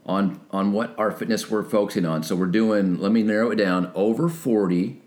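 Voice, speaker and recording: Hoarse man, 40s, very low voice, easygoing co-host. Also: moderate pace, a little mellow. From North Texas. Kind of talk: casual podcast chat.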